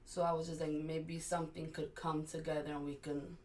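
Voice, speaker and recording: Low-voiced young woman, distant mic. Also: level tone, a little fast, a little mellow. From Miami. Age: young adult. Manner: chatty, finishes her partner's sentences. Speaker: business co-founder, guest. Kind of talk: casual interview. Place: university library. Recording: distant mic with faint room noise.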